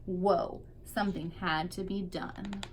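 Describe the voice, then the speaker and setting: A woman's voice: fairly low pitch, fairly even intonation, takes it slowly, slight background noise. A woman teacher reading a picture book aloud expressively to young children, drawing out key words for emphasis.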